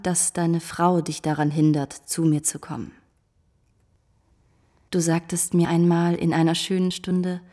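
An actress playing a scheming, seductive mistress in a German radio drama, flattering and demanding, with a husky voice that turns breathy. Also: female voice, on the low side.

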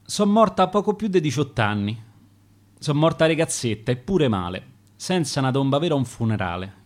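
A man's voice: medium pitch, close to an everyday voice. A man reading the verse of a dead young woman who was a wartime partisan courier, in a Roman accent. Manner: reciting dialect verse in rhyme.

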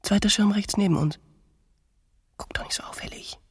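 An actress playing a willful, teasing wife who is romantic and quick to jealousy, in a naturalistic radio drama. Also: female voice, mid-range voice, husky, in a whisper, long reverb tail. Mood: tense. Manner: insistent, teasing, pointed.

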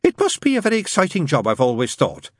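A man's voice: a little high, wildly swooping pitch, fast talker, breathy edge, no echo, clean studio sound, pitched above the performer's own voice, full character voice. A man voicing a chatty, flustered, naively cheerful nun and nurse who gushes and witters eagerly, in an audiobook story. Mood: eager small talk.

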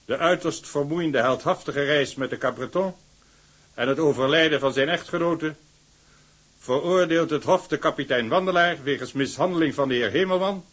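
An actor playing a stern court president in a Dutch audio drama, speaking in formal, measured, official phrases. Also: male voice, a little high, fairly bright tone.

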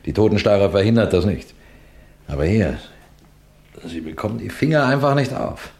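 Ein Schauspieler spielt in einem Krimihörspiel einen scharfsinnigen, befehlsgewohnten Kriminalrat, der bedächtig fragt und anordnet. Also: male voice, lowish voice, sing-song, darkish tone. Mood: stern, explaining. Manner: commanding, measured, questioning. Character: authoritative, shrewd police detective superintendent, first-person narrator. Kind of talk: German radio crime play acting.

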